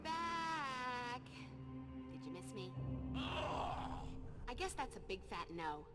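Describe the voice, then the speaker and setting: An actress playing a young, determined vampire slayer in a video game, flippant and plucky. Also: female voice, sits high, big pitch swings, takes it slowly, exaggerated voice. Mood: defiant, wry. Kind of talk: video game heroine acting. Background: loud music.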